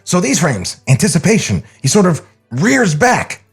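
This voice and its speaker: An excitable young animator from a script reading, played by a man, pitching with emphasis. The voice is husky and swings around in pitch, with music behind. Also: normal speed, full character voice. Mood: excited.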